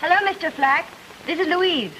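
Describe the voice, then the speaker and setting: An actress playing a naive, bubbly young newlywed with a guileless manner in an old-time radio comedy. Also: female voice, extremely high pitch, normal speed, pushed and bright. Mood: chirpy, sweet. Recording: faintly noisy vintage radio recording.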